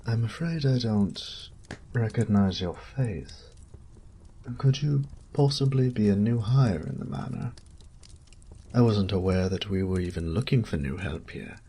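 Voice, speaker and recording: A man playing a courteous, low-voiced noble knight captain. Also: lively intonation, unhurried, warm tone, slightly rough, full character voice. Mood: polite curiosity. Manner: formal, polished, genteel phrasing. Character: courteous noble older brother, knight captain, formal and probing. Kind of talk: scripted fantasy audio roleplay.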